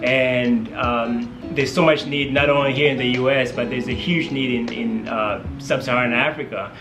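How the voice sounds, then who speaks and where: A man's voice: mid-range voice, fairly even intonation, normal speed. Young tanzanian guy, eager and rambling, echoey room, music behind. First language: Swahili. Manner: eager, rambling with many fillers. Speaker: medical student guest. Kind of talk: casual interview.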